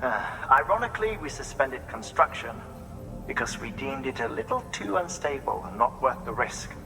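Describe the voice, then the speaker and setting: An actor playing a cerebral, measured, eccentric male scientist in a video game, speaking precisely and formally. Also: mid-range voice, very animated, gravelly, over music, poor audio. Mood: earnest briefing. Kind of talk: video game cutscene acting.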